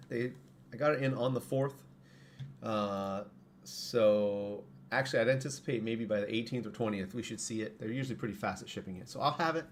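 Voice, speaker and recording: Hoarse man rambling on a stream. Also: mid-range voice, slight background noise. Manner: rambling, self-correcting. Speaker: streamer and saber parts maker. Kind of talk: casual live stream talk.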